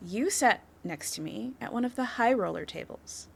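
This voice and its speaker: A woman's voice: fairly high pitch, animated, normal speed, creaky, breathy edge, full character voice. A woman playing a confident, flirtatious criminal suspect in an audio roleplay, teasing the listener with a coy, playful delivery.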